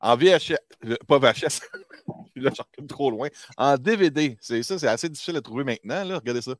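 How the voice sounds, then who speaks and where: A man's voice: mid-range voice, expressive, brisk, no room sound. A man with a Quebec French accent hosting a casual chat in an informal, enthusiastic way. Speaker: host.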